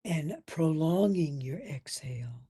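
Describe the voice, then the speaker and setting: A woman leading a guided meditation as instructor, soft and breathy, soothing and gentle, leaving long pauses between phrases. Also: low-pitched, animated. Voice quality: soft, breathy, at times near whisper.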